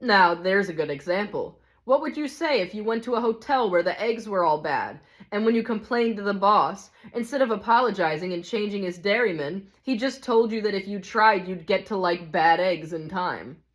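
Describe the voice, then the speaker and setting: A man's voice: high voice, lively intonation, moderate pace, hardly any pauses, fairly bright tone. A woman reading the part of a cynical, hard-bitten male ghost in a storybook, grumbling scornfully and insistently. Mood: triumphant complaint. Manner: scornful, insistent complaining with rhetorical questions.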